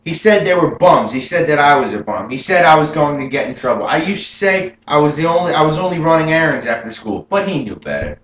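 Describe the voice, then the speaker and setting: A young man playing an adult gangster who proudly recalls his youth, telling it earnestly and rising with excitement, as a memorized film monologue. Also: a little high, brisk, hardly any pauses, pitched above the performer's own voice. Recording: roomy room with faint hum.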